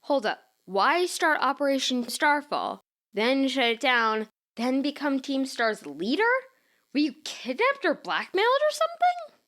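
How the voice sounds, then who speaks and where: A woman voicing a cautious, confused teenage girl trainer who tries to keep the peace, measured and questioning, in a fanfiction reading. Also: high-pitched, big pitch swings, a bit bright, pitched above the performer's own voice, exaggerated voice. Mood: baffled.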